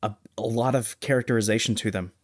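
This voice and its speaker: A man's voice: lowish voice, steady tone, fast talker. A man co-hosting a film podcast, giving his opinions in an informal, conversational way. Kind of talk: casual film discussion.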